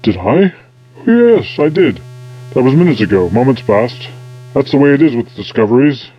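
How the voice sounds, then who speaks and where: A man's voice: mid-range voice, big pitch swings, normal speed, dull audio, close to an everyday voice. A man playing an eccentric, self-important time-travelling scientist in an audio drama, pompous and absent-minded. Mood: musing.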